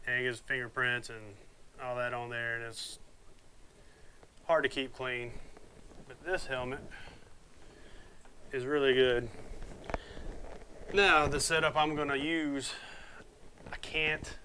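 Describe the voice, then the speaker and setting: A man's voice: mid-range voice, flat delivery, unhurried, long pauses, bright, forceful tone, a little hiss or hum, low-quality recording. A man, chatting casually and rambling a bit as he unboxes motorcycle gear on his vlog, recorded on a lapel mic in a small room at home. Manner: plainspoken, rambling, folksy. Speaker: motovlogger reviewing gear. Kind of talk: casual unboxing talk to camera. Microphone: wireless lapel mic.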